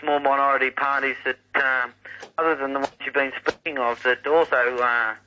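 A male caller on talkback radio, speaking in a hesitant, halting way. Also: mid-range voice, fairly even intonation, slight background noise. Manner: hesitant, halting, full of ums. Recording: dull lo-fi archival radio recording.

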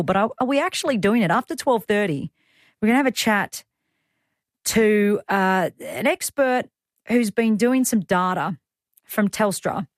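A woman's voice: medium pitch, dry recording, studio quality. An Australian woman in her thirties hosting a talk radio show, chatting through the rundown with personal asides. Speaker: radio host. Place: radio studio. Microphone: studio microphone.

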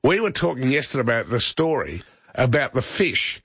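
A man's voice: medium pitch, very animated, a bit bright, breathy edge, dry recording. Male talkback host, casual joker, dull radio sound. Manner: jokey, chatty, quick banter. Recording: slightly dull radio broadcast.

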